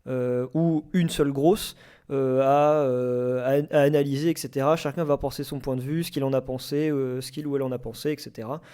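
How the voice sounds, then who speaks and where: A man hosting a casual live podcast debate, speaking in hesitant, halting phrases. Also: mid-range voice, steady tone, mellow, clear, resonant tone. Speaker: podcast host.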